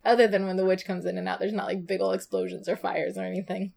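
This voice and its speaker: A woman's voice: mid-range voice, level tone, fast, creaky, darkish tone. A woman co-hosting a podcast, chatting casually and cheerfully with frequent laughter. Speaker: podcast co-host. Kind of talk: casual chat.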